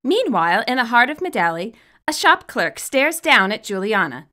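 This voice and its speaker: Woman, higher voice, very lively intonation, bright tone, wry scripted storytelling. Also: normal speed. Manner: expressive, wry. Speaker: fan fiction author and narrator.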